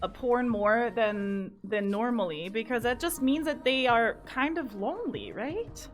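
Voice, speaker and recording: A woman's voice: on the high side, expressive, a little slow, faint music behind. A woman co-hosting a podcast in casual chat, teasing and often laughing. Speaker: podcast co-host.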